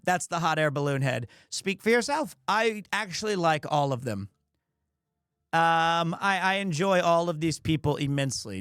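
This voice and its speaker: High-pitched man chatting on a livestream. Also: fairly bright tone, clear, resonant tone, dry recording, studio quality. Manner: joking, self-deprecating, rambling. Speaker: streamer, host. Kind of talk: casual livestream chat with viewers.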